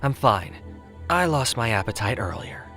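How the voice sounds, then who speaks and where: A man's voice: mid-range voice, big pitch swings, moderate pace, pushed and bright, faint music behind. A man playing a brooding, guarded, serious death god in an audio drama, speaking in a terse, dry, earnest way. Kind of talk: audio drama character acting.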